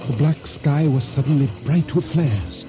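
An actor playing a solemn island boatman, narrating his ordeal at sea in measured, formal, deliberate words for a radio drama. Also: a little high, natural voice. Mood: grave, measured. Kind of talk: dramatic first-person narration for radio theater. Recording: radio drama with music underscore.

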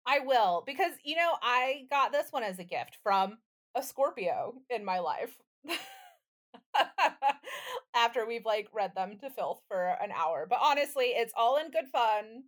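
A woman's voice: a little high, expressive, unhurried. A woman co-hosting a podcast with a playful, theatrical way of talking, whether chatting or reciting. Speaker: podcast co-host. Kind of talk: casual chat, dramatic poem reading.

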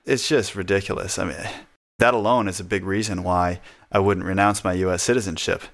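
A man with a very low voice talks in an easygoing, chatty way, often breaking into laughter. He pokes fun at himself as he explains things at a relaxed pace. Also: brisk, creaky. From United States. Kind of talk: casual conversation.

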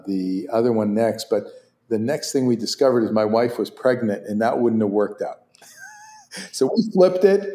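Hebrew-speaking older man telling stories in an echoey room. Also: mid-range voice. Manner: animated, laughs often, many restarts. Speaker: interview guest, orthopedic surgeon. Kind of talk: conversational storytelling.

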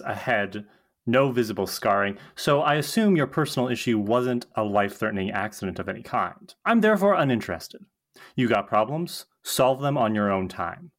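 Man reading a withering, animated female professor. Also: mid-range voice, natural voice. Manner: curt, withering. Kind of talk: comedic script table read.

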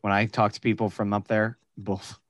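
A man co-hosting a casual podcast, animated and laughing, bursting into loud playful voices. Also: fairly low pitch, normal speed, no room sound, clean studio sound. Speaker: podcast co-host. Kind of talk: casual chat.

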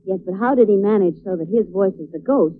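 A woman's voice: medium pitch, quick pace, phone-quality sound, close to an everyday voice. An inquisitive, genteel female companion, played by a radio actress, asking questions in 1940s drama style. The old recording is thin, with plain background noise. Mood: curious. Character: inquisitive lady companion of a detective.

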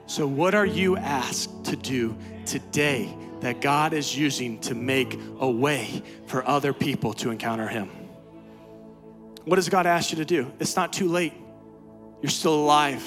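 A man preaching a sermon as pastor, swinging from quiet, low talk to loud, high-pitched exhortation. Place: church sanctuary.

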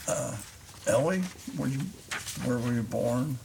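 An older man hosting a casual podcast interview, talking haltingly with many restarts and fillers. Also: medium pitch, creaky, noisy background. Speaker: podcast host.

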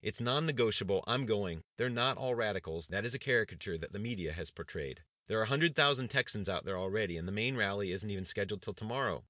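A man voicing a loud, defiant governor. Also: fairly low pitch, lively intonation, quick pace, hardly any pauses, dry recording, studio quality, pitched above the performer's own voice. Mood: adamant. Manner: loud and insistent. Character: defiant male governor, stubborn and forceful.

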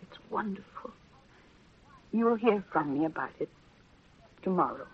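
An actress playing an emotional, earnest young reporter in 1930s radio acting style, on a muffled old broadcast. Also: female voice, fairly low pitch, lively intonation, unhurried, husky, full character voice. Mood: tender, tearful. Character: young woman newspaper reporter, torn and remorseful.

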